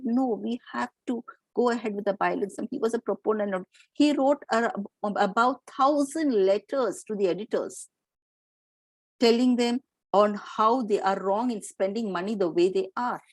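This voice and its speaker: A woman's voice: on the high side, moderate pace, no room sound, low-quality recording. Indian woman lecturing, dry sound. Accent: Indian English. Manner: explanatory, with fillers and restarts. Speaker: professor lecturing teachers. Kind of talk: lecture to teachers.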